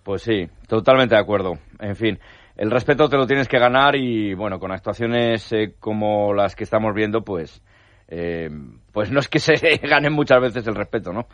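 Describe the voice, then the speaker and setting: A man giving the sports news on radio, measured, with frequent hesitant fillers. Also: fairly low pitch, fairly bright tone, no room sound, muffled recording. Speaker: sports reporter. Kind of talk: radio sports report and chat.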